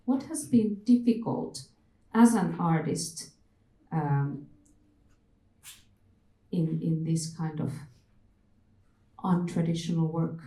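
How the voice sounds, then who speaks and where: A woman moderating a panel discussion in a thoughtful, halting way, with questions put gently to the speakers. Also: sits low. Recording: echoey room.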